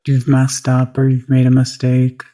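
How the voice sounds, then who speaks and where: Very mellow, slow meditation guide. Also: medium pitch, completely flat delivery. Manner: gentle, unhurried, long pauses between phrases.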